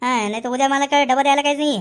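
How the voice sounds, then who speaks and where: A woman's voice: high voice, fairly even intonation, very fast, natural voice. A woman voicing a grumbling, nagging village housewife in a comedy cartoon. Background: faint music.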